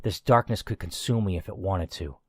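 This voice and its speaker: A man's voice: low-pitched, a little fast, slightly rough, no echo, studio quality. A man reading a first-person game story aloud as the player, in a dramatic narrating style.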